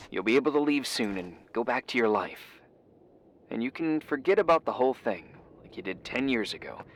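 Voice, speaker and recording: A man's voice: mid-range voice, a little hiss or hum, natural voice. An actor playing a casual, wry and mildly skeptical man in naturalistic game dialogue, offhand and hesitant, a little evasive.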